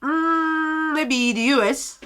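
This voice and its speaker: A young Nigerian woman with a Nigerian accent, chatting with strangers on a video app and reacting on her phone, loud and laughing. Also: high voice, slow. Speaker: vlogger. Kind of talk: casual video chat commentary.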